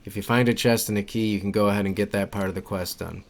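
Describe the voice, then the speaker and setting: A man's voice: fairly low pitch, steady tone, fast. A man giving casual, instructional walkthrough commentary for a video game, informal and repeating his key points. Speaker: game guide creator and narrator.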